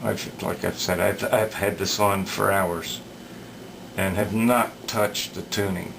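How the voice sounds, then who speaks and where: A man giving unscripted workbench commentary as a repair hobbyist, hesitant and halting as he thinks aloud. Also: low voice, steady tone. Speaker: radio repair hobbyist demonstrating work. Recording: clear background noise.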